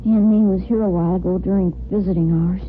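Woman playing a wistful, halting young boy. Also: on the low side, fairly even intonation, slight background noise, lightly acted. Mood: sadly resigned. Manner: halting, earnest, boyish. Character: brave, wistful twelve-year-old orphan boy in hospital. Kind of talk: 1950s radio soap opera acting. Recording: muffled old radio broadcast, faint music.

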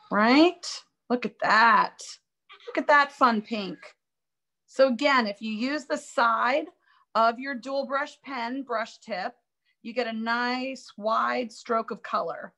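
A woman with a somewhat high voice leads a live online drawing class, talking casually as she works. Her voice is a touch raspy from allergies, and she thinks aloud in an upbeat, chatty way, often restarting her sentences. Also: sharp tone. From Florida. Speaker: art instructor.

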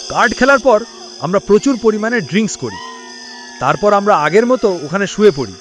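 A man telling a first-person ghost story as narration for a cartoon, in a lively storytelling manner. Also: high voice, a little fast. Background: loud music with crickets chirping.

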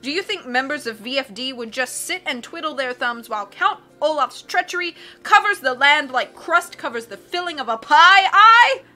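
A woman voicing a loud, excitable male sea captain who fires off rhetorical questions and punctuates them with "aye.". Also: high voice, normal speed, few pauses, soft background music. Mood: blustering.

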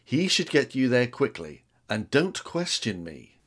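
An actor playing a bossy, overconfident public relations man who gives brisk orders. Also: mid-range voice, sing-song, a bit bright. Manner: brisk, commanding.

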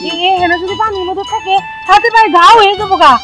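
A woman's voice: very high-pitched, steady tone, quick pace, over music. A woman playing a village auntie in an amateur comedy drama, practical and colloquial, with a rural Bangladeshi accent. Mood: practical, directing. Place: outdoors on a riverbank and village yard.

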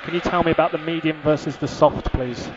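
A male race engineer speaks over a muffled team radio with clear noise on the line. His voice sits fairly high. He gives calm, clipped instructions and often repeats them. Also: brisk. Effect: team radio filter. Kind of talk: terse, instructional radio messages. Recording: muffled, noisy motor-racing team radio.

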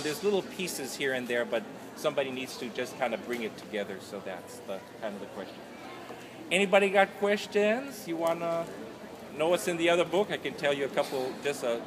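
A man, talking informally as an author and telling stories to an audience in an animated, colloquial way. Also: mid-range voice. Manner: animated, gesturing, colloquial storyteller. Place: large indoor festival hall, noise behind. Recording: noisy large hall, ambient background noise.

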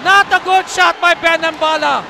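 Male color analyst, high and bright, a coach type who breaks down plays, faint arena noise. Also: fairly even intonation, moderate pace, vocal fry, some chatter behind. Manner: analytical, echoes and agrees with partner. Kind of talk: live basketball commentary. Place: courtside booth in a packed arena. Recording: noisy arena broadcast.

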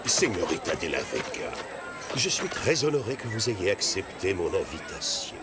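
Pompous cartoon commandant, wildly swooping gravelly voice. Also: male voice, sits low, huge pitch swings, normal speed, over music, crowd noise, full character voice. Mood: suave, condescending. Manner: grandiose, theatrical, imperious. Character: pompous, scheming, tyrannical military commandant. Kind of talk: animated cartoon dubbing.

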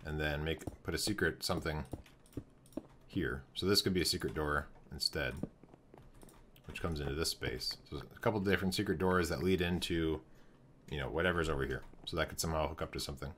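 A man's voice: very deep voice, fairly quick, fairly bright tone, slight background noise. A young man streaming a building game from home, thinking aloud as he plans rooms and greets his chat, his voice wearing out. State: losing his voice. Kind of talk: live gameplay commentary.

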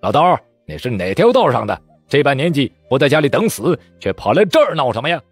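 A man voicing an arrogant army officer who jeers and shouts in a husky voice. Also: medium pitch, sing-song, fast, no room sound, soft background music, pitched above the performer's own voice. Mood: jeering. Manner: jeering, loud and shouting.

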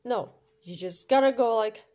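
A woman's voice: fairly high pitch, moderate pace, darkish tone. A woman reading an informal explainer voice-over in an emphatic, instructional way, as a creator running an audition. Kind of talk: informal scripted explainer voice-over with numbered rules.

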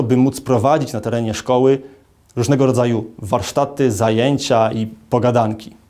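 A man in his thirties, a lawyer, giving a formal statement to camera in an office, speaking in a measured way with frequent hesitation fillers. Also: mid-range voice, a little mellow. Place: indoor office with large arched window.

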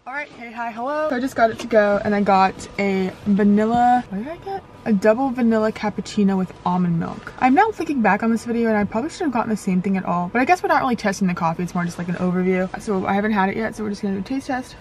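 A woman's voice: medium pitch, animated, fast, hardly any pauses, darkish tone, poor audio. A young woman in a parked car, talking casually to a dashboard camera while reviewing coffee shops, with lots of filler words. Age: young adult. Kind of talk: casual vlog chat.

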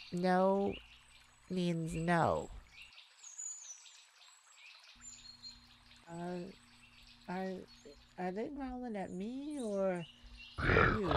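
A woman's voice: on the low side, a little hiss or hum. A woman playing a kind, protective, flustered young mage in a romantic ASMR roleplay, hesitant and stammering. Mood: nervous, flustered. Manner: hesitant, stammering, soft-hearted. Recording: steady outdoor birdsong ambience behind voice.

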